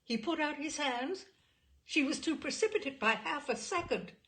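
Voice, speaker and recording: A woman reading a classic novel aloud as an audiobook narrator, with expressive, dramatic and deliberate phrasing. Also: high voice.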